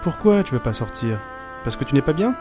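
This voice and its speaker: An actor playing a caring, insistent high-school boy, a loyal friend, in an amateur audio drama. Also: big pitch swings, brisk, over music, natural voice. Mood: insistent, encouraging.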